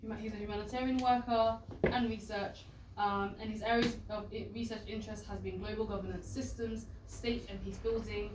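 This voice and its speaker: Woman introducing a speaker over loud hall noise. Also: medium pitch, fairly even intonation, a little fast, hardly any pauses. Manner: formal introduction, hesitant fillers. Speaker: panel moderator. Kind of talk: introducing speakers at a live panel. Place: event hall with audience. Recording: echoey hall, distant mic, loud background noise.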